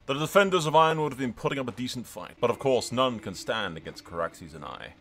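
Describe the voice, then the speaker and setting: A man's voice: mid-range voice, animated, quick pace, fairly bright tone. A man hosting a gaming video, mixing dramatic role-play storytelling with sweary, casual strategy game commentary, in a theatrical manner.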